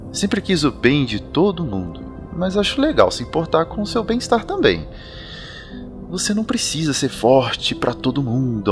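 Man plays earnest young mage, gentle and questioning, animated pitch, soft music under, audio drama.

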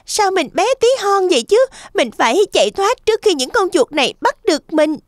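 A woman's voice: very high voice, very animated, fairly quick, no echo, clean studio sound. A woman voicing a sweet, timid young girl in a children's cartoon fairy tale, speaking politely and expressively. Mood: frightened.

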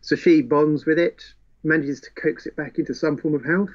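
A man's voice: a little high, steady tone, normal speed. A man in a casual book discussion, telling the story with wry relish. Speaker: podcast co-host. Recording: dull, muffled lo-fi audio.

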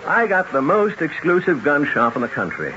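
Brash, animated gun shop owner. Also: male voice, a little high, normal speed, crowd noise. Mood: brash, boastful. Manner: brash, slangy, grumbling. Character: irritated, talkative gun shop owner, a man. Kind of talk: 1970s radio drama acting. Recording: muffled, noisy 1970s radio recording.

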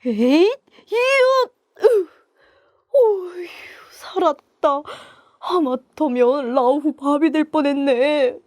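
A woman's voice: high voice, lively intonation, slow pace, darkish tone, no echo, studio quality. A woman voicing a trembling, frightened cattle thief in a children's story, with gasping, whimpering exclamations. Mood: panicky relief.

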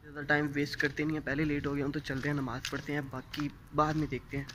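A man's voice: fairly high pitch, level tone, fast talker, a bit bright, slight background noise. A young man from Pakistan vlogging casually, talking into a phone camera held at arm's length and filling his talk with little tags like "yaar". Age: young adult. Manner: chatty, fills talk with "thik hai" and "yaar". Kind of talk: casual vlog chat. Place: home rooms, garden and rooftop.

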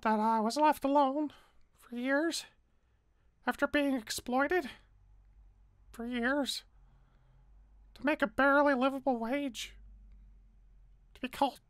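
Woman playing a world-weary underdog, high voice, close dry sound, sardonic, defensive, casual roleplay drama delivery. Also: smooth, no echo. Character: bitter, defensive, world-weary character with wounded pride. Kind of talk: naturalistic roleplay voice acting.

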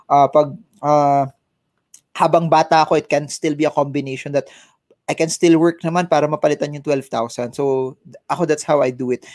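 A man's voice: on the high side, a little mellow, no room sound. A man in his thirties with a Filipino accent, a financial adviser giving advice by video call from a home office, mixing in Tagalog and restarting his words. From Philippines. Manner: mixes in Tagalog, quick restarts and repeated words. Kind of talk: casual advice interview. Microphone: headset mic, video call.

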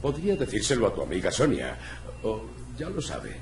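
An actor playing a blunt, world-weary cat private detective in a video game, with a gravelly voice. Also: male voice, fairly low pitch, huge pitch swings, normal speed, slight background noise. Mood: accusing. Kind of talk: video game voice acting, noir.

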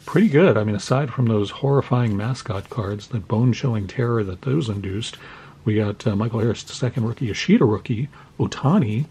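A man from the United States, slightly hoarse, opening trading cards at his desk at home and narrating each pull with deadpan mock horror. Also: fairly low pitch, a little hiss or hum. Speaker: card-opening channel host. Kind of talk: mock-scared card-opening commentary. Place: home desk with Halloween decor.

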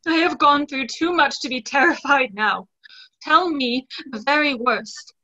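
Resolute young gentlewoman, played by a woman: bright, high, carefully spoken, theatrical, on dull video-call audio. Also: a little slow. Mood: brave, shaken. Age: young adult. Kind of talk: stage play reading. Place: small room at home, video call.

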